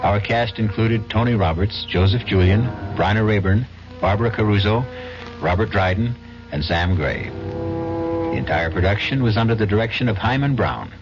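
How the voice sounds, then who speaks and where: Male radio narrator, low pitch, animated, theatrical, wry, 1970s mystery series, music underneath. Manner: deliberate, portentous, wry. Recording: dull old radio recording.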